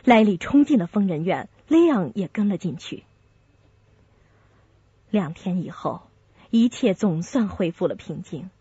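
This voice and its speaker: A woman's voice: medium pitch, dark tone, husky, no echo. A woman reading scripted dramatic narration as the narrator of a story. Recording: dull muffled audio over music. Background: music.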